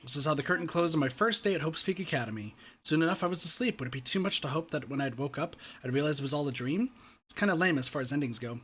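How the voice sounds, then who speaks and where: Man playing a fast-talking, earnest teenage schoolboy. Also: a little high, fairly bright tone, slightly rough. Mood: weary, resigned. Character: earnest, ordinary teenage schoolboy narrating his thoughts. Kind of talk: reading visual novel narration aloud.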